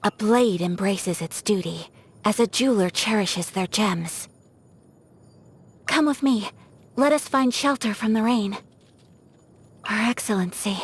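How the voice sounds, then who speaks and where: A woman playing a gentle, refined young noblewoman in a video game, speaking with formal, poetic, soft-spoken politeness. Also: medium pitch, husky. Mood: serene, poetic. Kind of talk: scripted video game character voice lines. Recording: music behind the voice. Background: music.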